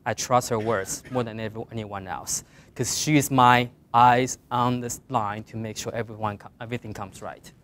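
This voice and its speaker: A middle-aged man with a Mandarin Chinese accent, speaking English as a second language, giving earnest career advice to design students, rising on key points and trailing off quietly at the ends of phrases. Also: medium pitch, fairly even intonation, moderate pace. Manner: earnest, plain advice with emphatic rises and trailing quiet endings. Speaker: guest speaker.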